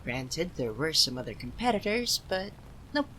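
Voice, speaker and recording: A man's voice: a little high, big pitch swings, normal speed, sharp tone, a little hiss or hum, low-quality recording. A man playing a proud, easily indignant young forest deity cursed into cat form, in a theatrical ASMR roleplay addressed to the listener. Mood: proud, composed. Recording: rain sounds over the voice. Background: rain ambience.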